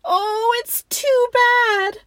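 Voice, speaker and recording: Woman as a very high, excitable little girl. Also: extremely slow, no echo, exaggerated voice. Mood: distressed. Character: young girl, tender, excitable and tearful.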